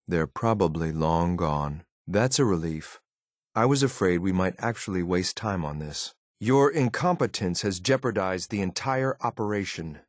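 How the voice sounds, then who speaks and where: A man voicing a cold, ruthless synthetic enforcer in a video game, with a clipped, deadpan, formal delivery. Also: low-pitched, no room sound, studio quality. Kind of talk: scripted game companion voice lines.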